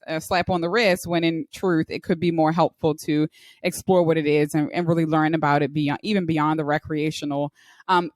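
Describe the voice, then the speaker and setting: A woman's voice: lowish voice, no echo. Dry-sounding woman hosting an interview. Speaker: podcast host. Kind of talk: interview questions.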